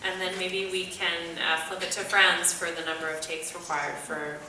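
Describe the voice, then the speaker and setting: A woman moderating an audience Q&A in a theatre, brisk and organizing. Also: lowish voice, little pitch movement, bright, forceful tone. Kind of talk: hosting audience Q&A. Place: large theatre. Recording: echoey theatre from far off, noisy.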